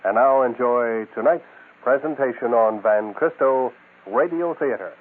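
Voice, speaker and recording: A man's voice: mid-range voice, a little slow. A man hosting a radio show, reading scripted announcements. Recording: narrow-band, tinny broadcast.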